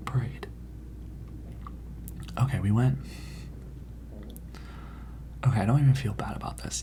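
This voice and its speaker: Whispering, creaky high school gossip, acted by an adult. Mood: sheepish, confessional. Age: teenage character. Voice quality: breathy whisper with creaky edges. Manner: conspiratorial, rambling, hushed. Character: gossipy, dramatic high school student whispering about a librarian. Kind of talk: ASMR roleplay.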